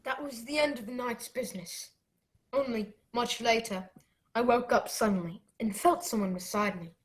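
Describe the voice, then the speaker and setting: A woman playing a young boy who narrates a pirate adventure radio play in an expressive storybook way. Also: on the high side, normal speed, lightly acted. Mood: hushed.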